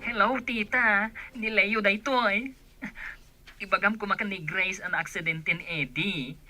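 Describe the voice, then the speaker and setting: A woman's voice: mid-range voice, a little slow, pushed and bright, soft background music. A woman acting a worried, fretting young friend in a radio drama, with an Ilocano Filipino accent. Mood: distressed.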